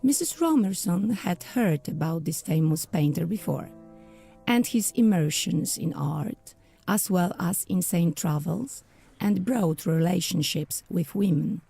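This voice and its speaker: Husky, heavily creaky female story narrator. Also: fairly low pitch, lively intonation, measured pace, dark tone, faint music behind. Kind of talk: scripted audiodrama story narration.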